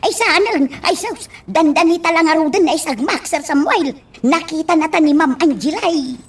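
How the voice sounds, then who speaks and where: A woman acting as an excitable, cheeky but deferential helper talking to her boss in an Ilocano radio drama. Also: high-pitched, moderate pace, natural voice. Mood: flustered.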